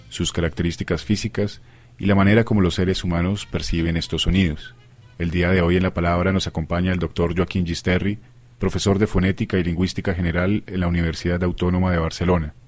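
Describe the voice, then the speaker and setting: A man's voice: sits low, fairly even intonation, quick pace, darkish tone, faint music behind. A man hosting a radio interview, reading formal introductions and questions.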